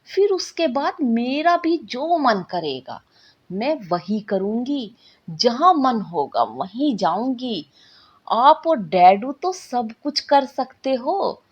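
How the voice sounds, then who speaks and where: A woman's voice: on the high side, very animated, takes it slowly, breathy edge, heavily acted. A woman voicing an impatient, curious young magical girl in a storybook tale, with a childlike, complaining manner. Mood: eager, impatient. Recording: dull lo-fi recording.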